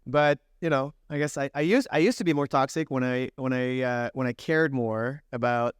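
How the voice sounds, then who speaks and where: Halting man speaking on a panel. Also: mid-range voice, smooth, no room sound, clean studio sound. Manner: halting, with many restarts. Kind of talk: conference panel talk. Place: conference stage.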